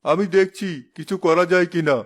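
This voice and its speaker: A man's voice: high voice, steady tone, fairly quick, lightly acted. A man playing a hesitant, stubborn village husband and father in a cartoon. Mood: doubtful, curious. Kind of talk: cartoon dialogue.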